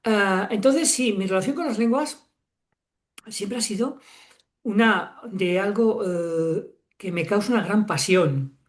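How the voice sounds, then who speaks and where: Spanish guy in his 60s, guest on an interview, thinks out loud, lots of um and restarts. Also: high voice, takes it slowly. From Spain. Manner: reflective, many fillers and self-corrections. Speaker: guest author. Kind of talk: relaxed interview conversation.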